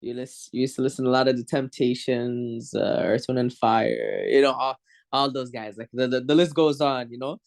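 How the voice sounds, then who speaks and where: A young man from Morocco, a musician guest on an interview show, talking in a relaxed, rambling way and laughing easily. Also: mid-range voice, moderate pace, vocal fry. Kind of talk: casual interview.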